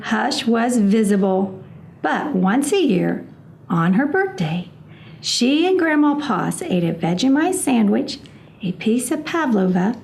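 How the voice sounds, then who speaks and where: A woman reads a picture book aloud to children. Her voice sits a little high and she takes her time, telling the story expressively. There is faint noise behind her. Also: vocal fry. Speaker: reading specialist reading to children.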